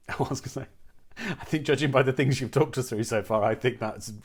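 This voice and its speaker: A man's voice: mid-range voice, fairly quick, breathy edge. A man hosting a conversational interview, putting questions and responding to the answers.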